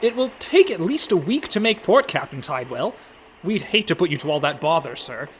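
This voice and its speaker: A man's voice: a little high, sing-song, fairly quick, a little hiss or hum. A man playing a prissy, indignant and reluctant young pirate in a comedic radio play. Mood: polite, nervous. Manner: fussy, exasperated.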